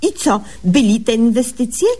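High-pitched pompous young lady doctor. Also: warm tone. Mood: inquisitive. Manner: mock-literary, deliberately garbled diction. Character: pompous, brisk young lady doctor narrating her diary. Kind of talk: humorous radio sketch acting.

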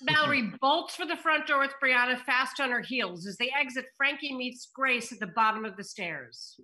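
A woman's voice: high voice, normal speed, sharp tone, poor audio. A woman reading screenplay directions aloud at a table read over a video call. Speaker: stage-direction reader. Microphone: computer video call.

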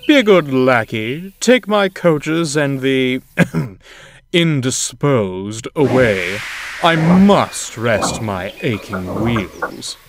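A man's voice: mid-range voice, sing-song, very slow, noisy background, heavily acted. A man voicing a vain, boastful male tank engine who speaks in a grandiose, condescending way. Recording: loud music score behind.

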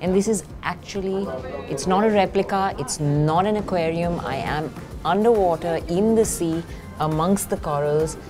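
A middle-aged woman hosts a travel and motoring show, describing what she sees with real enthusiasm. Her voice sits a little low for a woman. Loud background music plays under her narration. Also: normal speed. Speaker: motoring journalist and travel host. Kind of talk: travel vlog narration and on-camera commentary. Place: underwater observatory, then inside a car.

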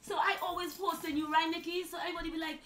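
Trinidadian mother, bright and eager, echoey room with faint hiss. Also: extremely high pitch, rapid-fire. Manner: excitable, eager, laughs often. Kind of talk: casual chat.

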